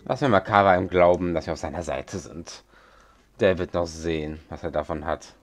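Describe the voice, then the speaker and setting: A man's voice: low voice, fairly quick, soft background music. A man giving casual gameplay commentary as the host of a let's play.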